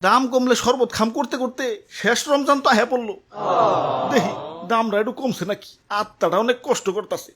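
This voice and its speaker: A man's voice: very high-pitched, fairly quick, fairly bright tone. A man playing a weary, thrifty shopper in a comic cartoon, speaking colloquial dialect with a Bangladeshi accent. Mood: glum, longing. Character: long-suffering thrifty shopper, glum and weary.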